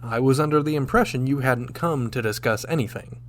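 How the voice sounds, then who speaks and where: An actor playing a firm, wryly sardonic starship captain in a sci-fi audio drama, speaking crisply. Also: male voice, medium pitch, fairly quick, natural voice. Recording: clear background noise with hum.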